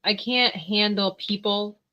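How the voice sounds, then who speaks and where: A woman's voice: mid-range voice, slow, dull audio. A young woman from Panama with a Southern American accent, hosting a casual livestream chat and laughing often. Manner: giggly, animated, exclaims and laughs often. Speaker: livestream host.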